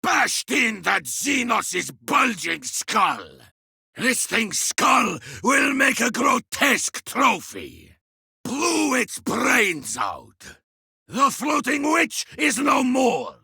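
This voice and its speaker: Harshly rasping, savage space marine. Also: male voice, high-pitched, sing-song, slow, dry recording, clean studio sound, full character voice. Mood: fierce battle fury. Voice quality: gravelly, rasping. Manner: forceful barked battle cries. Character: gruff, battle-hardened male space marine warrior, savage and zealous. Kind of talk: video game combat voice lines.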